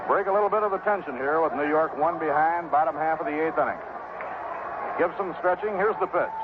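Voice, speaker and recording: High-voiced American 1960s baseball radio announcer, man. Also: brisk. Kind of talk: 1960s live radio sports commentary. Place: outdoor ballpark broadcast position. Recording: noisy, tinny 1960s AM radio broadcast.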